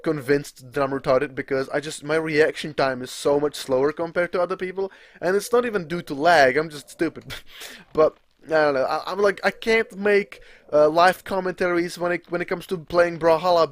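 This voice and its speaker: A man's voice: fairly high pitch, no echo. Chatty young man with a Czech accent. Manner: chatty, swears freely, laughs at himself. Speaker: gaming video creator. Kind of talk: casual rambling live commentary.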